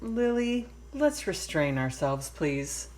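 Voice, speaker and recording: A woman's voice: lowish voice, very animated, takes it slowly, darkish tone. Woman playing a patient, coaxing mother mouse. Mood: pleading. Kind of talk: read-aloud storybook.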